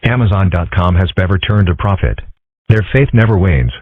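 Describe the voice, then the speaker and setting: A man voicing a calm, philosophical young male fox in a comic dub, husky, measured and deadpan. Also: low-pitched, dry recording. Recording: muffled narrow-band lo-fi audio.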